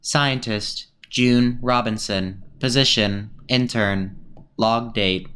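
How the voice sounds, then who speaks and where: A man playing an impersonal log announcer in a radio play, speaking in flat, clipped phrases. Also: on the low side, slow pace, slight background noise. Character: impersonal log announcer stating names and positions.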